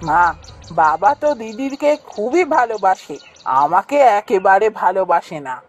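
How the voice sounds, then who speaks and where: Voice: medium pitch, very animated, a little slow, soft background music. An actor voicing a jealous, whiny boy prince in a fairytale cartoon, with a petulant, complaining delivery. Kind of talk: Bengali fairytale cartoon voice acting.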